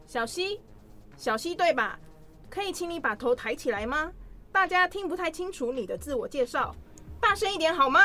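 A woman playing a brisk, encouraging college teacher addressing her class in a radio drama. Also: high voice, expressive, bright, forceful tone, soft background music.

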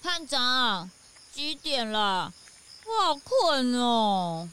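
A woman playing a naive, blunt, excitable little detective's assistant in a children's audio drama, with a childlike manner. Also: a little high, drawn-out words, clean tone, exaggerated voice. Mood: sleepy.

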